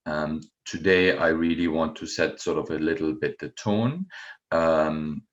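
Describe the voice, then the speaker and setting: A middle-aged man from Germany with a German accent, giving a university lecture in an unhurried way with many ums and uhs. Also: extremely low pitch, dull audio. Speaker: guest lecturer.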